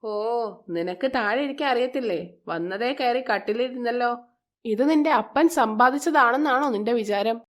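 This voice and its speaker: A woman's voice: on the high side, normal speed, close to an everyday voice. A woman voicing a spiteful, scheming middle-aged stepmother in a moral story, scolding and contemptuous. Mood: scornful.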